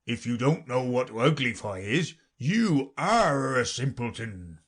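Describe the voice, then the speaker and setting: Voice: mid-range voice, big pitch swings, slow pace, breathy edge, heavily acted. A reader voicing the Gryphon, a blunt, scolding male creature who snaps short remarks in a storybook reading. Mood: gruff. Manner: brusque, scolding.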